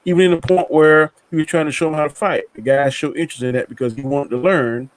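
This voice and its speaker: A man's voice: fairly high pitch, fast, no room sound, low-quality recording. A man chatting casually on a review panel, telling stories colloquially and acting out characters' lines. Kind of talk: casual storytelling chat.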